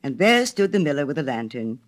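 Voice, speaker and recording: A woman's voice: a bass voice, big pitch swings, fairly quick. A woman reading a literary story aloud in a theatrical manner, with dramatic phrasing. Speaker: storyteller, reader. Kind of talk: expressive literary storybook narration.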